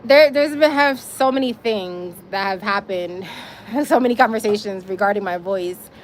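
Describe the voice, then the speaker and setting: A woman's voice: medium pitch, normal speed, slight background noise. Chatty woman live-streaming outdoors. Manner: chatty, talks straight to viewers, frequent little laughs. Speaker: livestream host. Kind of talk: casual live-stream chat to viewers.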